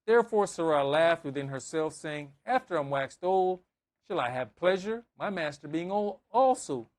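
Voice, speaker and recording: Higher-voiced man preaching conversationally. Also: animated, moderate pace. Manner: emphatic, repeating phrases, acting out dialogue. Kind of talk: conversational sermon with scripture reading and anecdotes.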